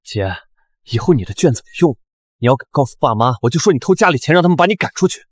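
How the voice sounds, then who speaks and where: An actor playing a sly, spoiled teenage younger brother, taunting, in a melodramatic short drama. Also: male voice, fairly high pitch, expressive, quick pace, no echo, studio quality. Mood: sly, threatening. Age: young adult.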